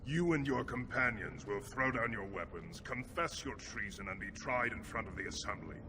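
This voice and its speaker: A man's voice: fairly low pitch, expressive, normal speed, background noise, close to an everyday voice. A man playing a proud, outraged dwarven prince in a fantasy game, speaking in a formal, commanding, haughty manner. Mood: furious, commanding. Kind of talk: dramatic fantasy game acting.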